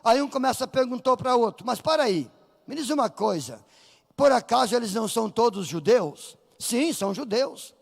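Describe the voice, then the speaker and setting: Brazilian male pastor, very high gravelly voice, fiery sermon delivery, reverberant church. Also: lively intonation, moderate pace. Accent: Brazilian Portuguese. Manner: fervent preaching, rising to shouts, acting out voices in the story. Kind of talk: Pentecostal sermon. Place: church sanctuary.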